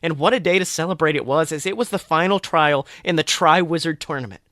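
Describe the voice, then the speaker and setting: A man narrating a first-person story in a dramatic, expressive way. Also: fairly high pitch, a little fast, no room sound, studio quality. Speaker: podcast host reading fan fiction.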